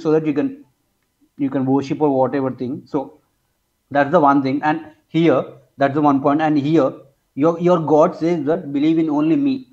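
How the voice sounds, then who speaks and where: A twenty-two-year-old man from India with an Indian accent, debating casually, haltingly repeating his phrases for emphasis. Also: on the high side, darkish tone. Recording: dull lo-fi online call audio.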